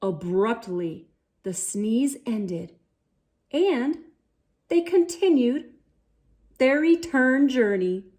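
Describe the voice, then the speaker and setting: Female children's storyteller: high pitch, unhurried pace, expressive read-aloud delivery. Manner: theatrical, deliberate delivery with dramatic gasps and exclamations. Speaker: storyteller, channel host.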